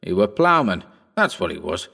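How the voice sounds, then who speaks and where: A gruff old countryman near eighty grumbles in thick rustic dialect, proud and touchy, as a male reader puts him on. His voice sits low and even. He speaks with the slow weight of a village elder. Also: heavily acted. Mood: grumbling. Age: older.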